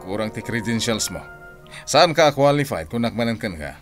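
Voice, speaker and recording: A man's voice: mid-range voice, lively intonation, moderate pace, over music, lightly acted. A man playing a blunt, doubtful, exasperated older brother in a radio drama. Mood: scolding. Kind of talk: radio drama acting in Ilocano.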